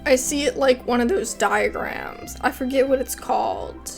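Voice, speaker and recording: A woman's voice: high voice, normal speed, gravelly, darkish tone, soft background music. A woman giving casual gameplay commentary as a video creator. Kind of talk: casual gameplay commentary, also reading dialogue aloud.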